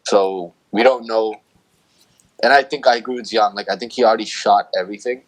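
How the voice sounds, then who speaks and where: A man's voice: on the low side, fairly even intonation, brisk, low-quality recording. A man speaking as a panelist in a casual chat, thinking aloud in a tentative way.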